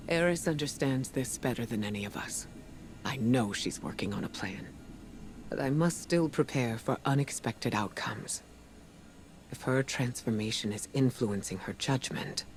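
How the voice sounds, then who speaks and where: A man's voice: mid-range voice, slight background noise, natural voice. Man playing a grave, weary military commander. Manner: grave, measured, troubled. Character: weary, solemn, dutiful military commander, middle-aged man. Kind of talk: video game monologue. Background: music.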